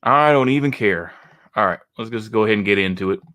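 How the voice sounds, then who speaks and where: Texan man, lowish voice, rambles and sneers through casual reaction commentary. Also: fast talker, bright, forceful tone. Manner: rambling, mocking, frequent restarts. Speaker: reaction video host.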